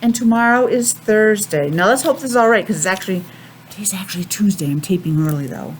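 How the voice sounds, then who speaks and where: An American woman teaching young children a simple lesson in a sing-song, questioning way with pauses. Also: medium pitch, slight background noise, low-quality recording. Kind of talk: children's calendar and weather lesson.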